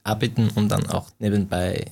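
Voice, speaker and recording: A young man from Afghanistan who grew up in Iran, a native Farsi speaker, answering interview questions in halting speech with many restarts. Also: fairly low pitch, level tone, vocal fry, mellow, husky. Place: Austria.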